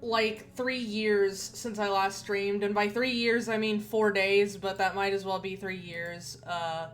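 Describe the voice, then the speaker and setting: A woman streaming a game, chatting casually and rambling with many restarts, her voice a little nasal and rough. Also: medium pitch, fairly even intonation, a little slow, rough recording. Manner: rambling, chatty, self-correcting with frequent repeats. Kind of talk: casual gaming stream chat.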